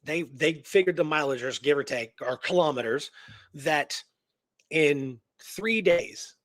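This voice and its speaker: A man with a slightly high voice tells a true story as a radio host. His delivery is colloquial and earnest, fully absorbed in the details. The sound is dry and close. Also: slow, no echo. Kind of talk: casual historical storytelling.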